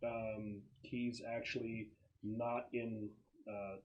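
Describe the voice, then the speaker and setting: A man acting as game master, casually narrating scenes and explaining rules in a halting, think-aloud way. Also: lowish voice, level tone, highs cut off.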